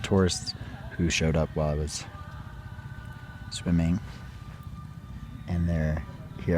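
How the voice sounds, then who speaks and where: A man losing his voice to a cold, chatting in a relaxed, meditative way as he sketches outdoors beside a hot spring. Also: rumbling low. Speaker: art vlogger. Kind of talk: casual travel vlog narration. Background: faint local music.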